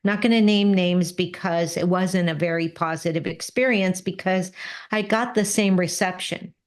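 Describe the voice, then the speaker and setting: A woman's voice: fairly low pitch, moderate pace. A middle-aged woman talking in a podcast interview, earnest and reflective, often repeating words and adding "right?". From Mexican-American background. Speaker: podcast guest, business coach. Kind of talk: conversational interview.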